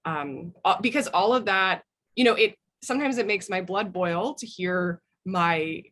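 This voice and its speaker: A woman's voice: mid-range voice, measured pace, a bit bright. An American woman speaking as an interview guest, giving thoughtful answers in an earnest, opinionated way. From United States. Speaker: guest, author.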